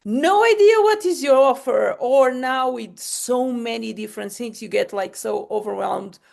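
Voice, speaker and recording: Gravelly woman host. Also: a little high, expressive, measured pace, a little mellow. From Portugal. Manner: rambling, laughing, self-interrupting. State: short on sleep. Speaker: podcast host. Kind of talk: casual chat.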